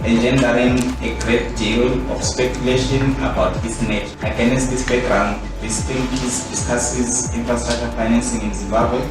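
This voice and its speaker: A man's voice: medium pitch, distant mic. Man reading formally in a very echoey space. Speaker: research presenter. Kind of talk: formal read-out paper. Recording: echoey room, off-mic, music underneath.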